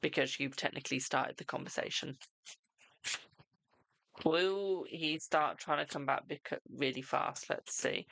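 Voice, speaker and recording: A British tarot reader hosting a live stream, chatting casually and answering viewers' questions with a brisk "my darling". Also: mid-range voice, gravelly, a bit bright, no room sound. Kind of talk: casual live-stream chat and card readings.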